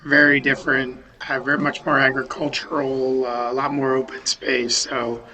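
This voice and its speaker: A man from Western Massachusetts, a company founder, talking over a video call in a thoughtful, halting way with many restarts. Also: mid-range voice, little pitch movement, bright, forceful tone, low-quality recording. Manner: thoughtful, restarts sentences, many um and you know. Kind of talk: remote podcast interview. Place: home or office in a city.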